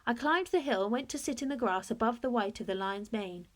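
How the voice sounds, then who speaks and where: A woman reading a children's story aloud in expressive narration, like a teacher to a class. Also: fairly high pitch, brisk.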